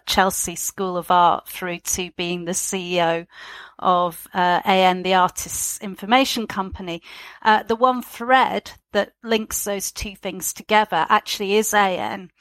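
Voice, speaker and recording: A woman's voice: mid-range voice, a bit bright. A middle-aged woman answers an interview question about her career in the arts. She speaks reflectively, with plenty of ums and uhs as she gathers her thoughts. The recording is dry and close, with no room echo. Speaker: arts organisation chief executive.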